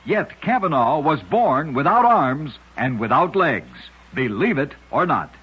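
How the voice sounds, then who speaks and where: Guy doing old radio narration, really husky, kinda high voice, muffled recording. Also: very animated, measured pace. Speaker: radio host. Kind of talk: scripted dramatic radio narration.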